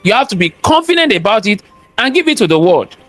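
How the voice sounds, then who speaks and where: High-voiced Nigerian man, emphatic. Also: very animated, quick pace, fairly bright tone, soft background music. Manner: emphatic and preachy, repeats key phrases, asks rhetorical questions. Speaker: guest, cybersecurity expert and entrepreneur. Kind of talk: interview conversation, motivational talk.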